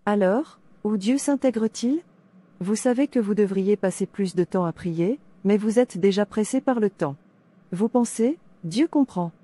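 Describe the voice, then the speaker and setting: A woman narrator with a mellow, relaxed voice at a middle pitch. She reads a scripted devotional message addressed straight to the listener. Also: measured pace.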